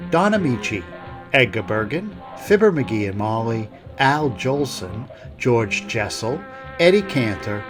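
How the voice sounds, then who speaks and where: A man reading a scripted introduction as host of a podcast. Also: mid-range voice. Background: music.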